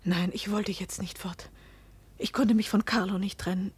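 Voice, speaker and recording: A passionate young wife in a radio drama. Her husky, breathy voice is intimate and confessional, heavy with remorse. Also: mid-range voice, steady tone, normal speed. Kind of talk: German radio play acting and first-person narration.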